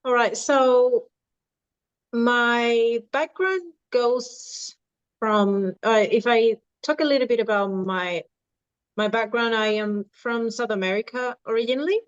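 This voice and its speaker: Woman from Venezuela, thirties, slow and halting, smooth clear voice, dry close sound, slightly dull recording. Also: on the high side, no echo. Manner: unhurried, halting with many restarts. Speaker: dietitian and nutrition coach. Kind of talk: podcast interview.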